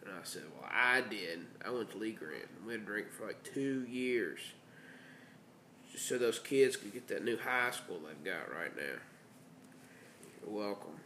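A man's voice: fairly low pitch, animated, moderate pace, pushed and bright, a little hiss or hum. A congested man hosting a casual podcast with bandmates in a house, joking and laughing as he rambles. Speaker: podcast host. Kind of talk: casual chat. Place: band house room. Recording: echoey room with faint ambience.

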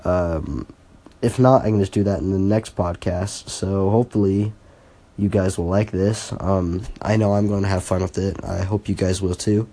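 A man's voice: low-pitched, steady tone, moderate pace, warm tone. A teenage boy hosting his first solo podcast, talking casually and hesitantly with many fillers and restarts, earnest and a little self-conscious. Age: teens. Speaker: podcast host.

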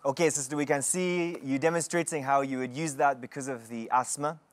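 A woman hosting a televised prayer session, preaching with measured emphasis and interviewing callers. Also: rumbling low, fairly even intonation, no echo. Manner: measured, emphatic, slow sermon-like delivery. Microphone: studio microphone.

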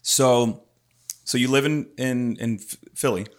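A man's voice: mid-range voice, a little slow. A man hosting a casual interview, laid-back and friendly, swearing freely as he banters with his guest. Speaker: podcast host.

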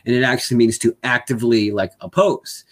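A man's voice: medium pitch, steady tone, brisk. A man in casual conversation, speaking hesitantly with many fillers and restarts.

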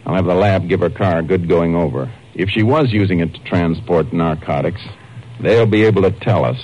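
Low, grim Texas Ranger, played by a man. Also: close to an everyday voice. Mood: businesslike. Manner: measured, terse, official. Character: grim, determined Texas Ranger investigator and narrator. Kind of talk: 1950s radio crime drama acting. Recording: muffled, noisy old radio recording.

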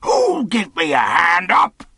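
A man voicing a menacing, wrathful pirate leader in an audiobook, rough and growling, speaking in rustic sailor dialect. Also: fairly high pitch, huge pitch swings, takes it slowly, bright, forceful tone, dry recording, clean studio sound, pitched above the performer's own voice, exaggerated voice. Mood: furious, threatening. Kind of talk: audiobook character acting.

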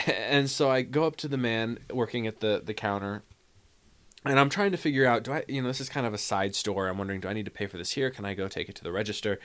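Reflective low-voiced podcast host. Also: male voice, fast, a bit bright. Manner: reflective, hesitant restarts, laughs often. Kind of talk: casual tipsy chat.

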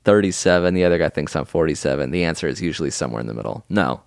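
A man in his thirties co-hosts a chatty comedy podcast, mock-lecturing his friend and breaking into laughter. His voice sits very low and crackles with fry, yet swings up and down a lot. It is recorded close and dry. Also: animated, racing, no room sound. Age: 30s. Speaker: podcast co-host. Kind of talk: casual comedic chat, reading listener email.

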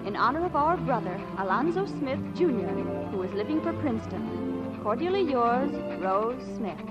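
A woman's voice: a little high, sing-song, normal speed. An actress playing a proper, genteel young lady in a 1940s radio comedy, declaiming formally on a dull old recording. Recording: dull old radio, loud music behind.

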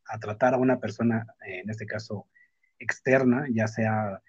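Mexican man, husky mid-pitched voice, blunt casual talker who restarts sentences; muffled, roomy sound. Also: steady tone. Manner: frank, slangy, halting and self-interrupting. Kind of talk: casual chat. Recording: muffled lo-fi audio in echoey room.

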